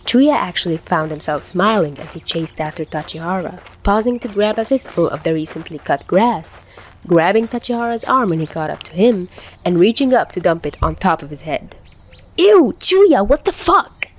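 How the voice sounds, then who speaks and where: A woman's voice: on the low side, lively intonation, brisk, a little hiss or hum. A woman reading scripted fan fiction aloud as a narrator. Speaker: podfic reader.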